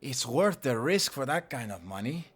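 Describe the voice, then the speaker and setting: A man's voice: medium pitch, sing-song, normal speed, husky. A man playing a teasing, mercenary outlaw comrade in an audio drama, mocking and dropping in Spanish words. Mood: calculating. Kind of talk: audio roleplay voice acting.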